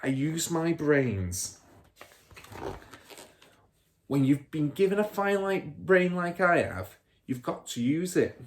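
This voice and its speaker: A man's voice: fairly high pitch, big pitch swings, slow, long pauses, slight background noise, pitched above the performer's own voice. A man voicing a boastful, crooked middle-aged car dealer and rude father in a storybook, bragging and scornful with heavy emphasis. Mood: animated bragging.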